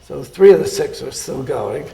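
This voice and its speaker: An older man, a surgeon, recalling his life in an oral history interview, speaking haltingly with many pauses and fillers. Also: medium pitch, animated, vocal fry, warm tone, roomy sound.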